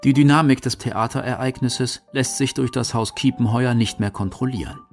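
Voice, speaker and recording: A man narrating and reading quotations for a scripted radio documentary, measured and articulate. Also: mid-range voice. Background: music.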